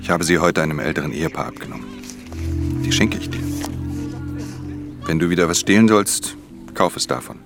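A man's voice: low voice, brisk, pauses often, over music. An actor playing an aloof, weary male pickpocket in a radio play, terse and understated, often dropping into a near-whisper. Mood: quiet, resigned. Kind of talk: German radio play acting with intimate first-person narration.

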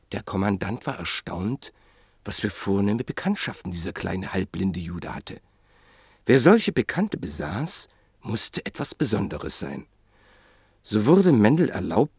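A male radio-play narrator tells the story in a measured, solemn way. His voice is lowish and gravelly with a husky edge, and the dry studio sound has no room echo. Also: a little mellow.

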